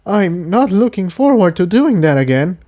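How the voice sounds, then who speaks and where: Guy putting on a high girly pony voice, hesitant young adventurer from a storybook, kinda uneasy. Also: big pitch swings, moderate pace, mellow, lo-fi sound. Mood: uneasy, curious. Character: cautious young female pony adventurer, uneasy but willing.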